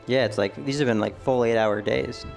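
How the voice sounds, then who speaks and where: A man's voice: medium pitch, steady tone, moderate pace, creaky, faint music behind. A man co-casting a live esports match, giving thoughtful analysis with many ums and hedges. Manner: thoughtful, hedging with ums and maybes. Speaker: esports co-caster.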